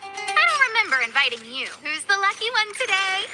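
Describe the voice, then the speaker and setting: Very high, teasing young fortune-teller. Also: female voice, sing-song, brisk. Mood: haughty. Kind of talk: anime-style game battle voice lines. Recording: game audio under loud music. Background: loud game battle music.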